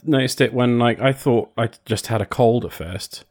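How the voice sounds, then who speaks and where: A man's voice: mid-range voice, normal speed, a little mellow. Brit guy hosting a podcast, upbeat, chatty, steers the talk. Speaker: podcast host. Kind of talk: casual chat.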